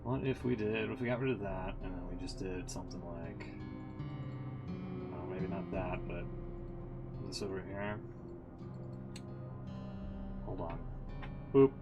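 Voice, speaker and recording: A man's voice: low voice, a little slow, long pauses, over loud music. A man chatting casually as he livestreams a game, muttering wry, sweary asides. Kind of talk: casual stream chat and improvised reading aloud.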